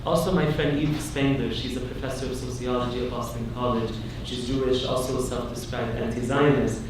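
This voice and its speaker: A young man giving an earnest, emphatic keynote speech, telling personal stories to an audience. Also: mid-range voice. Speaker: keynote speaker, academic. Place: large indoor meeting hall at a conference. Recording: echoey hall, far from mic, noisy.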